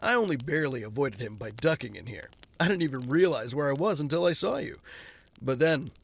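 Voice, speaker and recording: An actor playing a flippant, self-mocking rich playboy in an audio drama. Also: male voice, medium pitch, animated, a little fast, gravelly, breathy edge. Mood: breezy. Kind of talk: fan audio drama acting.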